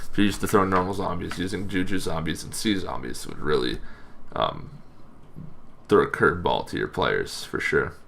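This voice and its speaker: Male explainer host, low register with heavy vocal fry, informal and halting delivery. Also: a bit bright. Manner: casual, loosely phrased, halting delivery. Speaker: host of a tabletop roleplaying lore show. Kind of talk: informal explainer on game monsters.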